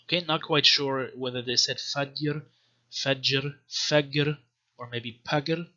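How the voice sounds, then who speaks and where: Male language teacher, very bright forceful voice, careful halting explanations, read-aloud examples, dull lo-fi recording. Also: medium pitch, fairly even intonation. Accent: non-native Arabic accent when reading Arabic. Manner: hesitant, pausing, careful word-by-word explanation. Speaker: language teacher, presenter of a video series. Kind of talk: explanatory lecture with read-aloud text samples.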